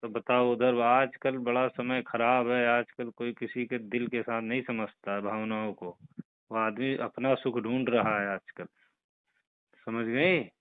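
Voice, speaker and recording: A man's voice: mid-range voice, flat delivery, unhurried, clear, resonant tone, no echo, natural voice. A man playing a flirtatious boss in a phone conversation, coaxing and often checking that he is being followed. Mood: lecturing, familiar. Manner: coaxing, repeatedly checks for agreement. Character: flirtatious male boss coaxing a former employee back. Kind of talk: staged phone call conversation. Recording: tinny phone line.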